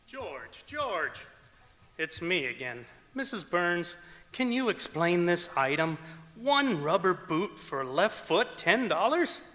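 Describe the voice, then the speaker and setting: Guy doing earnest old radio comedy character, slow talker, lively bright voice, big hall echo. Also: sits high, heavily acted. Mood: dazed, bewildered. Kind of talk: old-time radio comedy acting on stage. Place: stage of a performing arts auditorium. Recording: echoey auditorium with long reverb.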